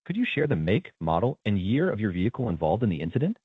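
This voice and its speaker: A man's voice: on the low side, very animated, fast talker, slightly rough, dry recording. A man's synthetic voice acting as a polite, procedural automated claim agent with scripted customer service lines. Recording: narrow tinny phone-like sound.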